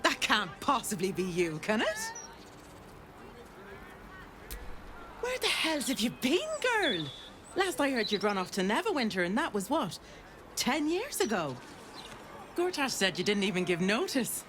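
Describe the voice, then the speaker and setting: A woman playing a warm-hearted, teasing female arms trader in a video game, hearty and familiar. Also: mid-range voice, very animated, normal speed, slight background noise. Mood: delighted surprise. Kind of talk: dramatic video game acting. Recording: music behind the voice.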